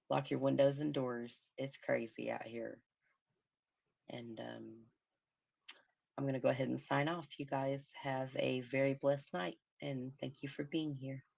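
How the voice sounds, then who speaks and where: A woman hosting a casual livestream, commenting on a crime case in a hoarse voice as she loses it and humming to herself. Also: extremely low pitch. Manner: murmuring, sympathetic, hums to herself. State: hoarse, losing her voice. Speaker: livestream host.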